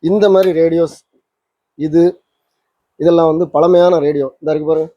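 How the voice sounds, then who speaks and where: A man's voice: a little high, steady tone, moderate pace, dark tone. A man giving an informal hands-on demonstration, explaining conversationally and often rephrasing his points. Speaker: presenter of a vintage radio repair channel. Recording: roomy echo, slightly dull lo-fi sound.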